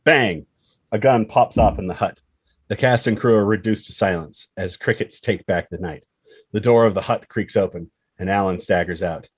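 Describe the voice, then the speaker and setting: A man with a husky voice reading dramatic screenplay stage directions as narrator. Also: lowish voice, moderate pace.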